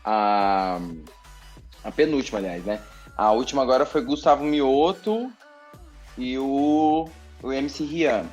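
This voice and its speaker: A man with a Brazilian accent, a TV journalist chatting as a podcast guest, telling a story in an animated, expressive way and repeating words for emphasis. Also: medium pitch, slow, lo-fi sound, soft background music. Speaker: TV journalist and presenter, interview guest. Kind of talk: casual podcast interview storytelling.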